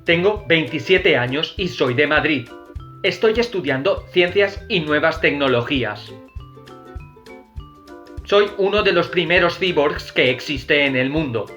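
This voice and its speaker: A man's voice: on the high side, expressive, measured pace, pushed and bright. Man playing a wry young cyborg student. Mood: earnest. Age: 20s. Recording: dull lo-fi sound with faint music.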